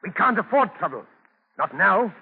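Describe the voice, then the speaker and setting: A man's voice: sits high, big pitch swings, moderate pace, vocal fry, slightly rough, full character voice. An actor playing a hard, suspicious, impatient male colonist, curt and insistent in 1940s radio style. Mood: insistent, hostile. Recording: tinny, echoing old radio recording.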